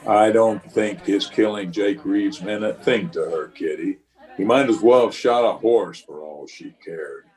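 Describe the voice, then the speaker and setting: An actor playing a weary, watchful Old West marshal in a radio western, speaking and narrating in a measured, terse, grave way. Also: male voice, on the low side, darkish tone, a faint crowd, natural voice. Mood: grave, dry. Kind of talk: old-time radio western acting with first-person narration.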